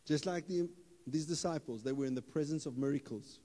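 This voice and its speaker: Pastor preaching in a reverberant hall. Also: male voice, fairly high pitch, brisk. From South Africa. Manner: animated, playful, rises to loud emphatic bursts. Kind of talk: sermon. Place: church hall stage with audience. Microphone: handheld mic. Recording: hall reverb, live church PA.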